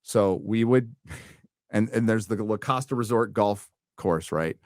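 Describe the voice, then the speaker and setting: A man's voice: on the low side, slow pace, dry recording, studio quality. A middle-aged man hosting a casual live show, laid-back and swearing freely, reading donations and laughing often as he talks. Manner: laughs often mid-sentence. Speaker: podcast host. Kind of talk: casual live-stream chat.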